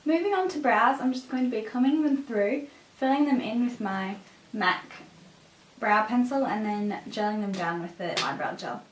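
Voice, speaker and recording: A woman with a raspy voice, a bit sick with a cough, presenting a makeup tutorial as a beauty vlogger. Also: a little high, expressive, moderate pace. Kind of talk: scripted makeup tutorial narration. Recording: roomy echo, distant microphone.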